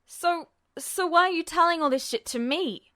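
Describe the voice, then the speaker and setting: A woman's voice: extremely high pitch, normal speed, fairly bright tone, no room sound, studio quality, voice pushed up. A woman voicing a frightened but defiant young man in an audio story, gasping, stammering and raising the voice in desperation. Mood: angry, desperate. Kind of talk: audio fiction reading.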